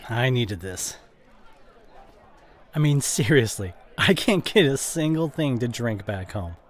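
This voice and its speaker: A man's voice: mid-range voice, big pitch swings, takes it slowly, long pauses. A man playing an evasive, defensive boyfriend with a drinking habit in an audio roleplay, speaking in a hesitant, one-sided conversation. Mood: tipsy, dismissive. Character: evasive drinking boyfriend, dismissive then guilt-stricken.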